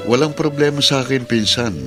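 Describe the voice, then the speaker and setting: Man acting calm, obliging radio drama cousin. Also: medium pitch, fairly quick, over loud music, close to an everyday voice.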